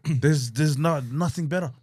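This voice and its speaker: A man's voice: on the high side, a little slow, a little mellow, husky, no room sound, studio quality. A man co-hosting a podcast, chatting casually with playful emphasis and frequent laughter. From Australia. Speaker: podcast co-host. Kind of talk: casual chat.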